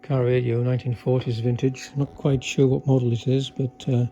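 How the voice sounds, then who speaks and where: A man's voice: medium pitch, flat delivery, normal speed, mellow, dull audio, a little hiss or hum. A man giving a casual, unscripted demonstration as an electronics hobbyist, talking in a hesitant, understated and technical way. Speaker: electronics hobbyist demonstrating a repaired vintage car radio.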